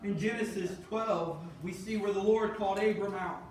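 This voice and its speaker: A man preaching in a church sanctuary, his voice unusually high for a man. It rings through a very echoey room and sits well back from the mic, with a congregation calling out responses. He shifts from measured reading to emphatic, building exhortation. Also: fairly even intonation, darkish tone, recorded from a distance, a little hiss or hum. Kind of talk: sermon.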